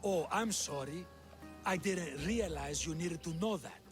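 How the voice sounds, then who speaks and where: A man's voice: fairly high pitch, lightly acted. A man playing a smug, callous slave master and cult villain in a video game, sneering and condescending. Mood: taunting. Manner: sneering, condescending, unhurried menace. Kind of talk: video game cutscene acting. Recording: music behind.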